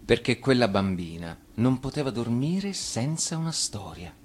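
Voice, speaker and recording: A man reading a children's tale as storyteller, in an expressive scripted narration. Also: medium pitch, moderate pace, breathy edge.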